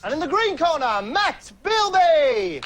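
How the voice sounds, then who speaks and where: A young man hosting a TV game show, theatrical and self-mocking, booming out contestant introductions. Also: big pitch swings, a little slow, loud music behind the voice. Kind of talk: hammy TV game show presenting. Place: TV studio.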